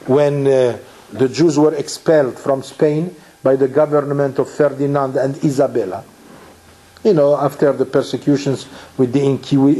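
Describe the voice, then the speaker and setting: A man's voice: medium pitch, warm tone. A middle-aged man from Morocco, a rabbi giving a Torah lecture, who restarts his phrases and asks rhetorical questions.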